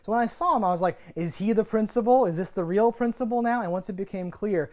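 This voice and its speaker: Podcast co-host, medium pitch, casual and enthusiastic, free with swear words, mimics lines while recapping. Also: quick pace, darkish tone. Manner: enthusiastic, sweary, acts out quotes. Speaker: podcast co-host reviewing TV episodes. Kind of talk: casual chat.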